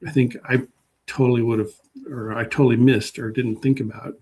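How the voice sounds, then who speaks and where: A man speaking as a guest historian in a casual interview, halting and modest as he thinks aloud. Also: medium pitch, little pitch movement, a crowd in the background.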